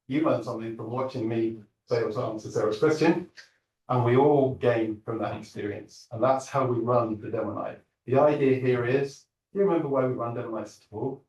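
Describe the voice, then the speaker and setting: A man's voice: medium pitch, a little mellow. A man hosting a startup demo night, talking to a live audience in an upbeat, joking way from a stage. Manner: upbeat, joking, addresses the crowd. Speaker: event host. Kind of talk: event talk to a live audience. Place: large lecture hall stage. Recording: roomy hall from a distance.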